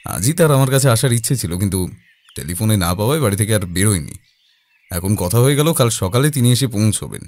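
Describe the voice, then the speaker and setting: A man playing a calm, observant detective in an audio drama, speaking deliberately with thoughtful pauses. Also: lowish voice. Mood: calm, pondering. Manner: deliberate, pausing, hesitating mid-thought.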